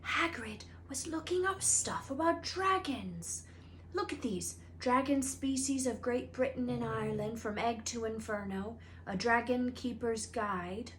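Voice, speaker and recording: A woman voicing an excitable, blunt schoolboy in a storybook, talking emphatically and informally. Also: fairly high pitch, unhurried, slight background noise. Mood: excited whisper. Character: excitable, blunt schoolboy, eager know-it-all about dragons.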